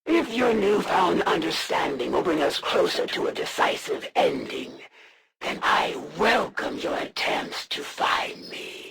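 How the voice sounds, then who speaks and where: Slow, haughty, gravelly alien assassin. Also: female voice, lowish voice, exaggerated voice. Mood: solemn. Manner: formal, haughty, deliberate, threatening. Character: proud alien assassin warrior, female, menacing, honor-bound, disdainful. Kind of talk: scripted video game villain voice acting.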